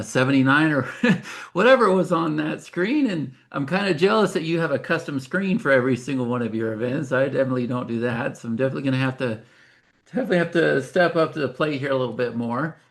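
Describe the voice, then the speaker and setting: A man's voice: medium pitch, quick pace, vocal fry. A man of fifty giving an informal sales presentation as a webinar host, talking conversationally with folksy asides.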